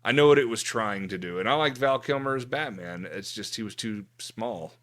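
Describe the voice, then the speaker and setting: A man's voice: lowish voice, normal speed, a bit bright, a little hiss or hum. A man hosting a casual pop culture podcast chat, blunt and opinionated, swearing freely. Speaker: podcast host.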